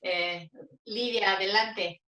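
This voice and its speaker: Bright-voiced woman moderator in echoey room. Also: mid-range voice, steady tone, slow pace. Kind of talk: informal panel moderation. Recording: roomy, echoey audio.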